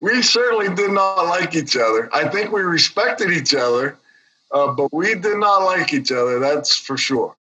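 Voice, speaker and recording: A man's voice: on the high side, expressive, moderate pace, bright, forceful tone, breathy edge, lo-fi sound. A former football player in his fifties, speaking plainly and matter-of-factly in an interview.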